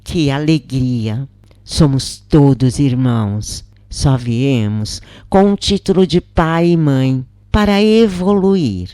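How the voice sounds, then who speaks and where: Slow, mellow woman reading reverently. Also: a bass voice, lively intonation, no room sound, clean studio sound. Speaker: reader of channeled spirit messages. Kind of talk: slow devotional first-person reading.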